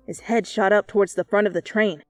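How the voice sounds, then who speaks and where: A woman's voice: medium pitch, a little fast, no room sound. Woman reading fanfic dramatically, close dry mic, bit of music behind. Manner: expressive, theatrical. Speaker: fan fiction narrator. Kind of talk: dramatic storybook-like narration. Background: background music.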